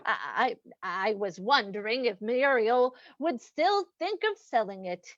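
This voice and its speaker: A woman playing a dithering, covetous elderly lady with a halting, fluttery manner in an old-time radio play. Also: a little high, big pitch swings, fairly bright tone, no echo, dull audio. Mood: fluttery, apologetic. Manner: fluttery, halting, repeats herself.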